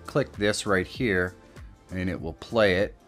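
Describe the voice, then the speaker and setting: A middle-aged man hosting a casual software tutorial. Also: sits low, slow pace, faint music behind. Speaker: tutorial host. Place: small indoor home studio.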